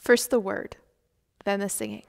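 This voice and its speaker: Woman doing a church reading, average pitch, hall reverb. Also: sing-song, normal speed, vocal fry. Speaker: church worship leader. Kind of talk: scripted liturgical reading. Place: church sanctuary, indoors.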